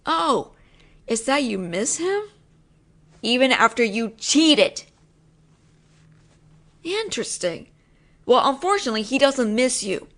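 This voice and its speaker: A young woman voice actor in an intimate audio roleplay, playing a possessive, teasing roommate who talks low and lingers, sometimes sinking into whispers. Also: big pitch swings, slow pace, pauses often, fairly bright tone.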